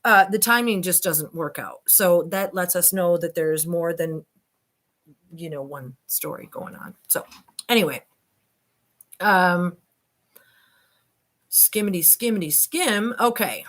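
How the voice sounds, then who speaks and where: A woman's voice: on the low side, slow, long pauses, a bit bright. A woman reading a transcript aloud and commenting informally, addressing listeners directly with skeptical asides. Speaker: online commentator and host.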